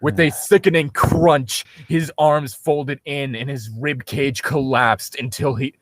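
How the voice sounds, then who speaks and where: A man's voice: mid-range voice, fairly even intonation, slow pace, a bit bright, no room sound. A man casually reading a story aloud and picking it apart with sarcastic, sweary commentary as a co-host. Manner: sarcastic, nitpicking, swears freely. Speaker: co-host reading and mocking amateur fiction.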